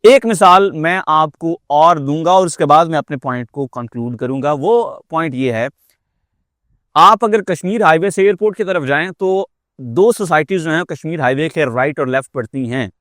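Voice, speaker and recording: A man's voice: fairly high pitch, expressive, fairly bright tone, no room sound, studio quality. A man with a Pakistani accent giving a persuasive market update as a real estate marketer, explaining emphatically and dropping in English phrases.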